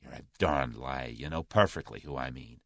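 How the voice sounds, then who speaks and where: A man's voice: rumbling low, moderate pace, vocal fry, dry recording, pitched below the performer's own voice, exaggerated voice. Man doing a drawling brash American tough guy. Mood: tough, wry. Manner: drawling, abrupt, dry, free and easy. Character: brash, confident young American millionaire, threatening.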